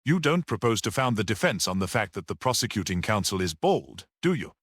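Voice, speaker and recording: A man voicing a confident, worldly man in a mystery audiobook, with an animated conversational manner. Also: on the low side, fairly quick, slightly rough, dry recording, clean studio sound, voice pushed up, heavily acted. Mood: assured. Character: confident, worldly man discussing a murder trial. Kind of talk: audiobook character reading.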